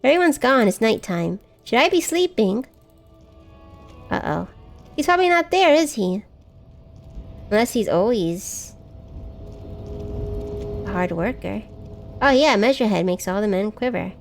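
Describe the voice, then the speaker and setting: Young woman streamer, high bright voice that jumps around, giggly, faint music behind. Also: unhurried, gravelly, pauses often. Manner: giggly, animated, reacts loudly. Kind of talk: casual live-stream game chat.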